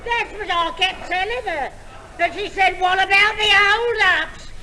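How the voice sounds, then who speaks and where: A woman's voice: very high voice, unhurried, pushed and bright, some chatter behind, exaggerated voice. An actress playing a gossipy, dramatic London woman in a 1950s stage comedy sketch, talking in exclamations. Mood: aggrieved gossiping. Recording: noisy 1950s live theatre recording.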